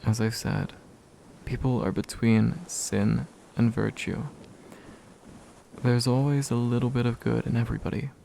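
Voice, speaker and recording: A man playing a gentle, slightly bashful demon in a soft, soothing, intimate ASMR comfort roleplay. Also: mid-range voice, little pitch movement, gravelly, a little hiss or hum, low-quality recording. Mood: tender, reassuring. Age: young adult. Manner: soothing, hushed, unhurried, tender.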